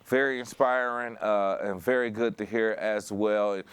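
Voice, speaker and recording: A man's voice: on the low side, fairly even intonation, a little slow, clear, resonant tone, no room sound. A man in his thirties hosting a conversational broadcast interview from a studio on a lapel mic. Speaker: TV and radio show host. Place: indoor studio.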